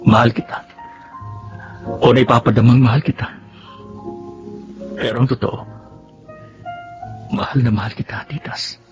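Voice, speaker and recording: A man's voice: mid-range voice, fairly even intonation, a little slow, long pauses, over music, lightly acted. A man acting a guilty, self-centred husband in a radio drama. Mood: remorseful, tender.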